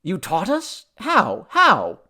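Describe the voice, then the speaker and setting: A man voicing an excitable, exultant young scholar in a story, eager and babbling. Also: high-pitched, slow, gravelly, voice pushed up, full character voice. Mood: baffled urgency. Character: excitable, exultant male archaeologist in love.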